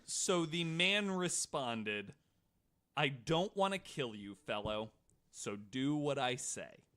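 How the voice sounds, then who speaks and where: Animated, bright-voiced man telling crime stories. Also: medium pitch, slow. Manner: profane, joking. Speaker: podcast host. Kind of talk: casual true-crime storytelling with banter.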